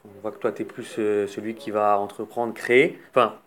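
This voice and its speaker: A man's voice: fairly low pitch, little pitch movement, moderate pace, a bit bright. A man hosting an interview, asking informal, chatty questions. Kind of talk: podcast interview.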